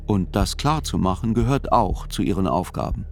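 A man giving scripted first-person literary narration in a radio play, measured and reflective. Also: sits low, expressive, gravelly, a little hiss or hum.

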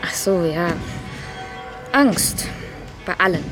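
A woman's voice: fairly low pitch, lively intonation, takes it slowly. An actress playing a frank, informal, chatty woman friend in a conversational radio drama style. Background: music.

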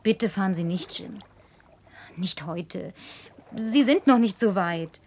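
A woman's voice: mid-range voice, expressive, slow pace, a little mellow, slight background noise. An actress dubbing a spoiled, lonely young city woman who talks in a playful, coaxing, teasing way. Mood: pleading. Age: young adult. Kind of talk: German film dub.

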